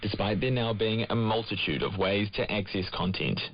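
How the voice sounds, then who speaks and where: A man's voice: on the low side, moderate pace, a crowd in the background. A man reading a scripted radio news report as a reporter. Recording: dull lo-fi shortwave radio, clear static.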